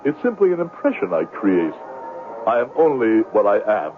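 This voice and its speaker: A man's voice: medium pitch, wildly swooping pitch, normal speed, faint music behind. An actor playing a grandiloquent swindler who lives on his wits, speaking in florid, pompous, wordy phrases in a radio drama. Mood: grandly self-deprecating. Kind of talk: 1970s radio drama acting. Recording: tinny band-limited old radio recording, hissy.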